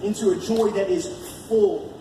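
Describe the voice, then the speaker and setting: A fairly high-voiced American man delivers a colloquial evangelistic sermon, leaning on repeated phrases for emphasis. His voice comes through a heavily echoing room, picked up from a distance. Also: steady tone, moderate pace. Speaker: preacher. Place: large echoey room at a live church gathering. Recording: echoey room from far off, noisy.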